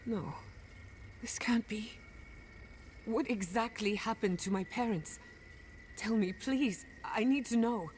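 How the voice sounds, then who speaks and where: Haunted, intense game heroine. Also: female voice, fairly high pitch, slow, long pauses, darkish tone, a little hiss or hum. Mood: shaken, pleading. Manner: intense, emotional. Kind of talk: video game dramatic dialogue.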